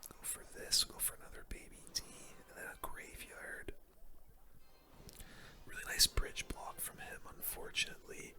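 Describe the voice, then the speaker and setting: A gaming host doing ASMR commentary in a soft, breathy whisper, with casual gamer slang, close to the microphone. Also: moderate pace.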